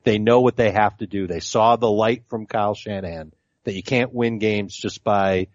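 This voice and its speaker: A man's voice: on the low side, fairly even intonation, moderate pace, dry recording, lo-fi sound. A man on a sports podcast panel, giving opinions and joking casually.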